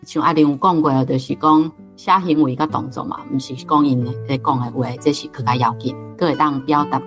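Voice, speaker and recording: Low-voiced Taiwanese woman over guitar music. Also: steady tone, brisk. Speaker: book club host, guest speaker. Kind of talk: reflective unscripted commentary.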